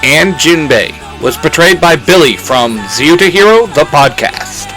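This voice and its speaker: A man hosting his own podcast, reading out credits and giving shout-outs. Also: on the high side, lively intonation, unhurried, faint music behind, low-quality recording. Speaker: podcast creator and host.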